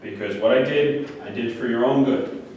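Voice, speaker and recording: A man's voice: medium pitch, normal speed, darkish tone, natural voice. A man in a staged play reading, playing a deluded, obsessive murderer who insistently justifies himself. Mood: pleading, earnest. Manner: insistent, self-righteous. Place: echoey room at a staged reading, far microphone. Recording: very echoey room, distant mic, faint noise.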